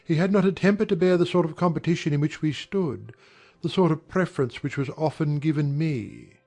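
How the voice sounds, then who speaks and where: An actor playing an aggrieved young gentleman in a dramatized audiobook, speaking in formal, measured, earnest period language. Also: on the high side, creaky, faint music behind. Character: young gentleman airing polite, wounded grievances. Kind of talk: dramatized audiobook character acting.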